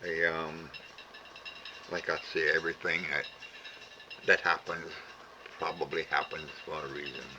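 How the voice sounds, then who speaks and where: An older man with a Cajun accent speaks in a very deep, gravelly voice. He talks slowly and stops often to say "uh" and repeat words. The recording sounds dull and lo-fi, with a steady hiss underneath. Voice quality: gravelly, plain-spoken. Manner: halting, with many "uh" pauses and repeated words. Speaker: storyteller sharing heritage. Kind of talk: slow, rambling talk to camera.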